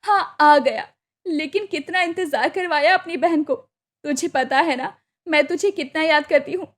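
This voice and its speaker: A woman's voice: sits high, normal speed, close to an everyday voice. A woman voicing a loving, emotional elder sister from an Indian village in a cartoon moral story, effusive, fussing and affectionate. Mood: tearful affection.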